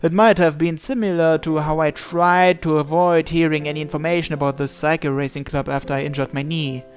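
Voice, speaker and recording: High-voiced man reading a story aloud. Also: steady tone, fairly quick. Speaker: gamer reading a visual novel aloud. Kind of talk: read-aloud story narration. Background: game music.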